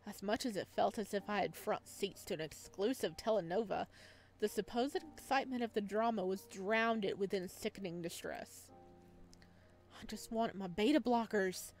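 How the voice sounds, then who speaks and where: A woman reading a visual novel aloud as a let's player, expressive and now and then stumbling over the words. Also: medium pitch, normal speed, soft background music. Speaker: video game let's player.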